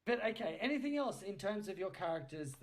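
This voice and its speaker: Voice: medium pitch, sing-song, a little fast. Playful, teasing podcast host chatting casually.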